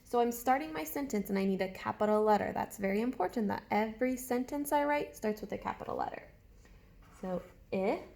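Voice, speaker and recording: A woman's voice: mid-range voice, lively intonation, fairly quick, gravelly, darkish tone. A woman teaching a writing lesson to young children, guiding them step by step with questions and spelling words out. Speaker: early elementary teacher.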